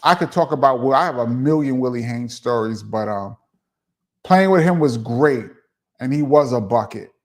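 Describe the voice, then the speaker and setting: A man's voice: mid-range voice, animated, moderate pace. A middle-aged man from Brooklyn with a New York accent, casually hosting a live stream and answering viewer questions in a laid-back, chatty way. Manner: laid-back, chatty, laughs and says um often. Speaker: host of a live chat show.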